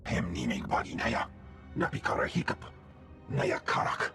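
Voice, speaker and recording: A man playing a gruff alien officer in a video game, barking choppy phrases in an invented guttural language. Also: moderate pace, loud music behind the voice, close to an everyday voice. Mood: gruff, urgent.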